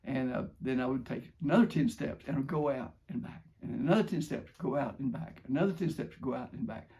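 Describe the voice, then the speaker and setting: A man's voice: medium pitch, brisk, vocal fry, dark tone. An older man with an American accent, casually telling first-person stories in a rambling, modest, homespun way. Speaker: father telling stories from his youth.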